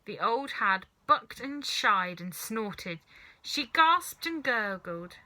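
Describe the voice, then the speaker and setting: A woman narrating a children's story in an expressive, scripted storytelling style. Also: fairly high pitch, slow, rough recording. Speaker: storybook reader.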